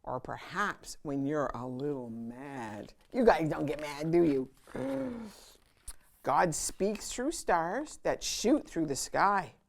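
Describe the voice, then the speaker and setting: Animated woman reading to kids. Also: low voice. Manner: sing-song, animated, with dramatic pauses and hushed moments. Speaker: children's storytime host. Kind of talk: expressive picture-book read-aloud for young children.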